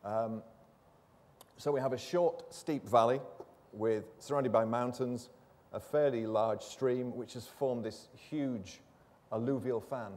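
A man's voice: mid-range voice, fairly even intonation, takes it slowly, darkish tone. A man giving an academic lecture in a lecture theatre, explaining steadily with the odd filler. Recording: reverberant hall.

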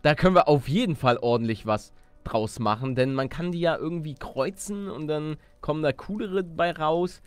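A man's voice: on the high side, moderate pace, hardly any pauses, smooth, soft background music. A man hosting a Let's Play, thinking aloud casually in slang peppered with English gaming words. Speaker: gaming video host.

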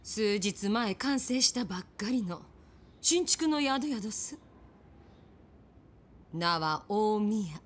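An actress playing a poised, determined innkeeper with a Kyoto accent in a period game drama, genteel, polite and deliberate. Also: female voice, mid-range voice, animated. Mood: composed.

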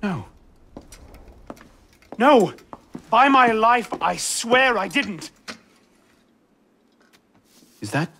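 A man's voice: high voice, lively intonation, slow, vocal fry, bright, forceful tone, slight background noise, exaggerated voice. A man acting an earnest young assassin in a game drama, speaking emotionally and imploringly. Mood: desperate denial.

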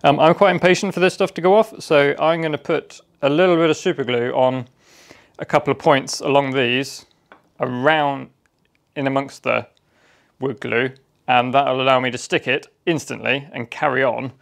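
A man explaining a woodworking job step by step in a relaxed, practical way while he works in his home workshop. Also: medium pitch, vocal fry. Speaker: DIY workshop host. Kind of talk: casual how-to narration while working. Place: cluttered home workshop, indoors.

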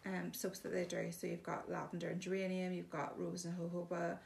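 A woman's voice: on the low side, completely flat delivery, a little fast, gravelly, a little mellow, a little hiss or hum. A woman giving a chatty, casual demonstration of bathroom products, explaining each one plainly with frequent ums. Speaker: shop presenter showing products.

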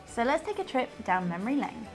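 A British woman in her thirties presents a scripted promo to camera. Her voice is slightly high and swings animatedly in pitch, with music behind it. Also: sing-song, fairly quick. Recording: clean voice over background music.

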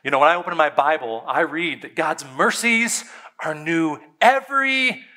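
A man, a pastor preaching a sermon on a church stage, conversational and emphatic, with dramatic pauses and soft drops in his voice. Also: on the high side. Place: church stage before a seated congregation.

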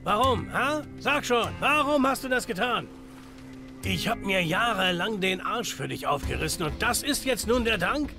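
Bitter brawler, fairly high male voice, gravelly, gruff, aggressive, game drama, music behind. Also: lively intonation, moderate pace. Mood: angry. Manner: gruff, aggressive, foul-mouthed. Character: bitter, hot-tempered male brawler, betrayed and weary. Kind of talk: dramatic video game acting.

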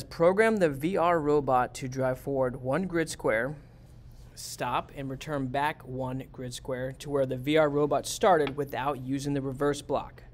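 A man, a teacher, walking his class step by step through a robot programming task in a screen-recorded lesson. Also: on the high side. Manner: plain, step-by-step, talks students through it.

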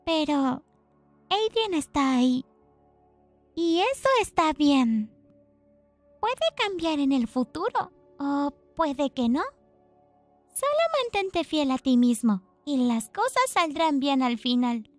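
High, lilting tiny sprite, voiced by a woman. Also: lively intonation, slow pace, pauses often, no room sound, faint music behind. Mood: encouraging. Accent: Latin American. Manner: gentle, encouraging. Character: tiny, kindly female fairy-like bug sprite companion. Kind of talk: fan dub of a comic.

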